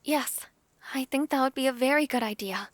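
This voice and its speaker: Woman playing a high-voiced, gentle young dragon noblewoman. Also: normal speed, no echo, close to an everyday voice. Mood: earnest, curious. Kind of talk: fantasy audio drama acting.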